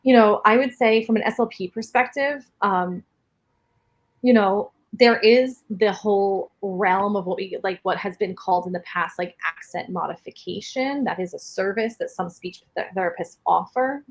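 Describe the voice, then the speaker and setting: Woman, New York accent, husky voice, explanatory interview guest, speech therapist. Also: mid-range voice, moderate pace. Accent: New York area. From New York City. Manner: explanatory, steady, many fillers of "like". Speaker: speech-language pathologist guest. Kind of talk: interview answers.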